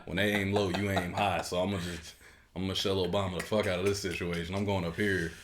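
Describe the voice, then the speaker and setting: A man with a very deep voice chats in a quiet, relaxed way, leaving his friend to do the loud talking. His mic sits a little far from him, so he comes through softer than his co-host. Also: fast, slight background noise. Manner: quiet, low-key talk. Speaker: podcast co-host. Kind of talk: casual comedic banter. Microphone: headphones on, mic at a distance.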